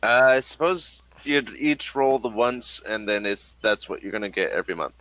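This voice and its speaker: A man's voice: lowish voice, moderate pace, bright, forceful tone, rough recording. A man acting as game master, talking casually through a tabletop game.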